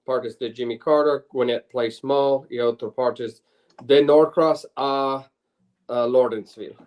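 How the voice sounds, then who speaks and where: A middle-aged man, a lawyer and former legislator, answers an interviewer's questions at an ordinary pitch. He is a native English speaker working in a second language, so he restarts and hunts for words as he goes. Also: steady tone, a little mellow. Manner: halting, searching for words in Spanish. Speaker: lawyer and former state senator, guest.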